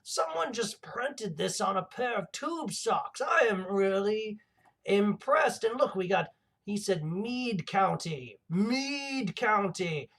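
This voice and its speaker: A man playing a theatrical mad villain hosting a comedy livestream, rambling and gloating with halting, melodramatic delivery and a creaky voice. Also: sits high, lively intonation, a bit bright, full character voice. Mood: gloating, menacing. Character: theatrical male mad villain host plotting laser revenge. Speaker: livestream show host.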